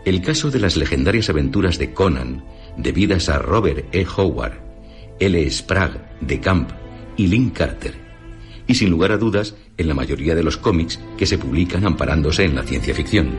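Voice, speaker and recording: A man with a Castilian Spanish accent narrating a scripted radio essay in a formal, didactic, deliberate manner. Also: sits low, animated, faint music behind.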